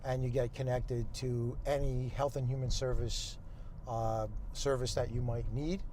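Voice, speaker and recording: Male interview guest, 60s, measured explainer who pauses often, mid-pitched, light road noise in a moving car. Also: flat delivery, warm tone. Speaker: guest, nonprofit executive director. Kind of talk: unscripted interview conversation. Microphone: clip-on lapel mic.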